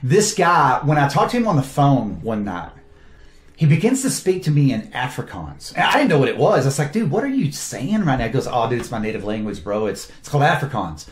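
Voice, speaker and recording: A man, a film director, talks through his cast with eager, animated enthusiasm. His voice sits at a middle pitch and carries a roomy echo. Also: brisk. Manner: enthusiastic, animated storytelling with quoted asides. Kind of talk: casual interview talk.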